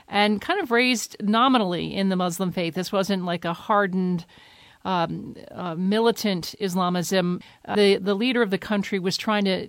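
A woman interviewing a guest, giving measured prompts before each question. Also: medium pitch, dry recording, clean studio sound.

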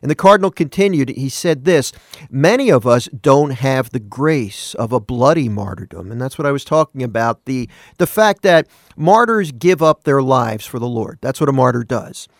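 A man in a middle register talks earnestly into a dry, close studio mic, with almost no room sound. He reflects on faith in a relaxed, conversational way, stopping to correct himself and turning to ask the listener questions. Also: mid-range voice, normal speed, dry recording. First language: not Spanish. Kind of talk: morning radio reflection.

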